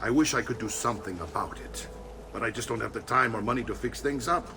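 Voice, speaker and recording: An actor playing a gruff, jovial middle-aged Italian mercenary uncle in a video game, expansive and avuncular, with an Italian accent. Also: male voice, medium pitch, fast, far from the mic, background noise, close to an everyday voice. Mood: affable, reflective.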